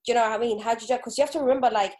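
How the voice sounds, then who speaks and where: A woman's voice: on the high side, very fast, a little mellow. A young woman hosting a podcast in casual chat, informal and often checking that she is understood. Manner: informal, often checks in with "do you know what I mean". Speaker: podcast host.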